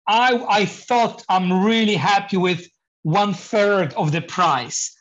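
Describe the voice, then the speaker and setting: A man from Poland with a Polish accent, speaking English as a second language, halting and searching for words, laughing now and then. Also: high-pitched, fairly bright tone, lo-fi sound. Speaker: guest, painting contractor. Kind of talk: interview conversation.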